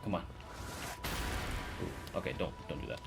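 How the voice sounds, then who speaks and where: A man's voice: sits low, normal speed, gravelly. A man giving casual live commentary while he plays a video game. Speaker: game streamer. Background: loud video game music and sounds behind the voice.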